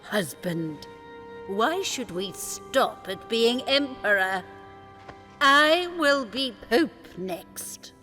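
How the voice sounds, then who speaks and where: A woman's voice: on the high side, lively intonation, very slow, pushed and bright, soft background music, exaggerated voice. An actress playing a greedy, domineering fisherman's wife in a fairy tale audio drama, haughty and dismissive. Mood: haughty, grasping.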